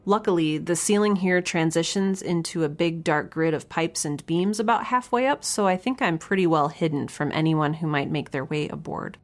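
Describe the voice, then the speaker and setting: A woman's voice: sits low, moderate pace, hardly any pauses, close to an everyday voice. An actress playing a young researcher alone on a spaceship, chatting into her log in a wry, rambling, nervous and self-deprecating way. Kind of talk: audio drama, spoken log in a casual chatty manner.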